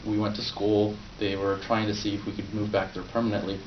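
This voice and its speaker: A young man born in Poland, Polish his first language, delivers a prepared speech to a club in a low, easygoing voice, with fillers and restarts. He is caught from a distance in a roomy meeting room on a dull, muffled recording. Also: monotone, brisk, a little hiss or hum. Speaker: club speaker.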